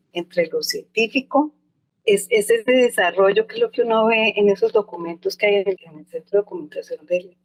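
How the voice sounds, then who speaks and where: A woman's voice: lowish voice, poor audio. An older woman, an anthropologist answering interview questions in a thoughtful, reflective way, often restarting and hedging as she remembers. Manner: thoughtful, with frequent restarts and hedges.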